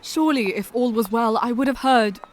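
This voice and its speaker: A woman's voice: fairly high pitch, moderate pace, natural voice. Woman voicing a tender young lady, concerned, audio drama, faint noise. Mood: worried. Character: gentle, worried young woman, modest friend.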